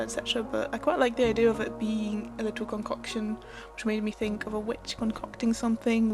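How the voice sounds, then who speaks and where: A young Polish-born woman with a slightly high voice narrates a scripted voice-over, thoughtful and wry, with a touch of self-deprecation. Music plays clearly underneath her the whole time. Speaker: art and language vlogger.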